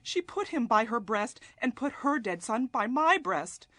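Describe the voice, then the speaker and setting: High-voiced, pleading young mother. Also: big pitch swings, unhurried, fairly bright tone, no room sound, exaggerated voice. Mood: distressed, pleading. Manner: pleading, emotional, earnest. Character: distressed young mother pleading before a king. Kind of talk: dramatized scripture reading.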